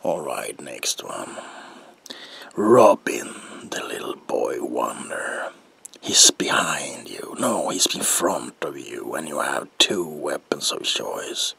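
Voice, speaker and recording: Gleeful mad villain, half-whispered ASMR roleplay, sharp gritty edge, slow theatrical drawl, playfully menacing. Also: male voice, extremely low pitch, sing-song, no room sound, heavily acted. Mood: sly, teasing. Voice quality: breathy gravelly whisper.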